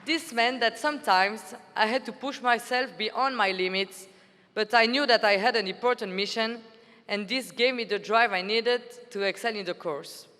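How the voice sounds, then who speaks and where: A woman's voice: fairly high pitch, normal speed, bright, forceful tone. A woman in her twenties from Belgium, an army officer giving an earnest, formal prepared speech at a conference. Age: 20s. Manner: earnest, formal, read-aloud delivery. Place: large conference hall. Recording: hall reverb from a venue PA.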